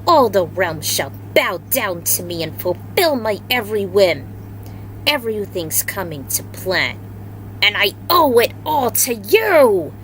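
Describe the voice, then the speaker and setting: A woman voicing a malevolent, gloating male dark god with a grandiose, deliberate delivery. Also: medium pitch, sing-song, slight background noise, rough recording.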